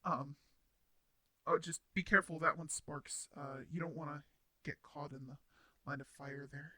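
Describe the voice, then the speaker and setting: A man's voice: fairly high pitch, steady tone, normal speed, pushed and bright. A man playing a shy, flustered, kindly robot roommate in an intimate audio drama, speaking hesitantly with stammers. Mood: flustered, embarrassed. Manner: stammering, hesitant, nervous laughs, sighs. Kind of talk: intimate roleplay audio drama addressed to the listener.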